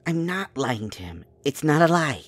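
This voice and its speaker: A man playing an exasperated, wheedling fast-talker trying to plead his case in an audio drama. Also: a little high, big pitch swings, fairly bright tone. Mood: exasperated, explaining.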